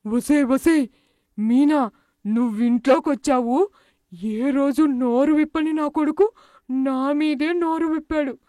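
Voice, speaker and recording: A woman voicing a bullying, loud middle-aged village mother-in-law in a cartoon moral story, scolding and haranguing. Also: high-pitched, takes it slowly, long pauses, no echo, studio quality. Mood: angry scolding.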